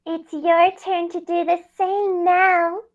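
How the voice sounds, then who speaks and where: A woman's voice: very high voice, level tone, takes it slowly, dull audio. A woman casually heckling and giving mock line readings as a co-reader.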